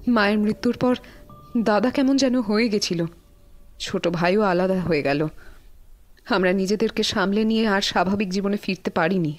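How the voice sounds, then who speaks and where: A woman's voice: mid-range voice, natural voice. A woman playing a distressed sister who protests her innocence in earnest, pleading tones in a Bengali audio drama.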